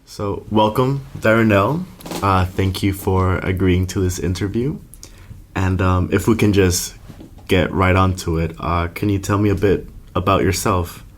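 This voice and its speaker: Deep-voiced young man hosting a podcast. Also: steady tone, unhurried, slight background noise. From Nicaragua. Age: young adult. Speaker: podcast host and interviewer. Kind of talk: podcast introduction and interview questions.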